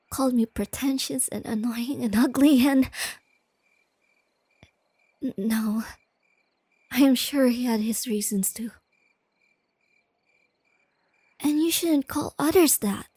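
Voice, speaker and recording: Female actor as timid, bookish young girlfriend for ASMR roleplay; soft and affectionate, creaky edges, close dry sound. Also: sits high, dry recording, exaggerated voice. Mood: timid, self-deprecating. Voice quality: soft, breathy, often whispery. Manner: hesitant, tender, halting. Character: shy, nerdy, self-doubting young girlfriend, gentle and affectionate.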